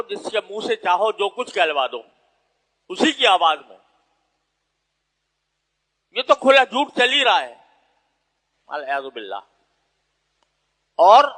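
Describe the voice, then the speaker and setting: A man's voice: sits high, sharp tone. A man preaching an Islamic moral sermon in a didactic, emphatic way, repeating phrases for stress. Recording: long hall reverb.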